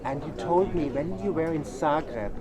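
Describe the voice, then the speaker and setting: A man's voice: a little high, moderate pace. Interviewer man over outdoor crowd noise. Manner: short prompting questions and frequent encouraging backchannels. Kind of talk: casual on-location interview. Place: outdoors at a pilgrimage site.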